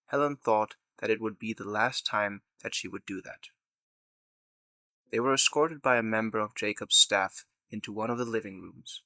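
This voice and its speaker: A man narrates a scripted audio drama. His voice is fairly low yet bright and forceful, recorded very dry. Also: no echo.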